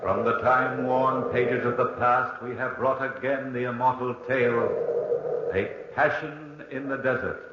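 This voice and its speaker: A man's voice: mid-range voice, steady tone, a little slow. A man announcing in a grandiose, declamatory 1940s radio style. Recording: very noisy, muffled, echoing old radio recording.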